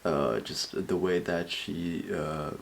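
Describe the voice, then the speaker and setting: A man's voice: low voice, takes it slowly, gravelly. Chatty man reacting to videos. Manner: rambling, chatty, talks to viewers. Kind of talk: casual reaction commentary.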